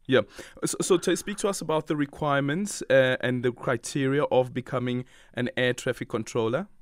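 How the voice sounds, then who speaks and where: A man's voice: medium pitch, moderate pace. A South African man hosting an interview in a conversational way. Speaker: interviewer, host. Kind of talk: conversational broadcast interview questions.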